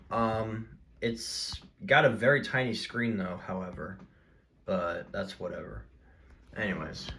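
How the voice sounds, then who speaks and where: A man talking casually in a halting, rambling way as he presents his collection in a vlog. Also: medium pitch, fairly bright tone. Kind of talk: casual show-and-tell vlog.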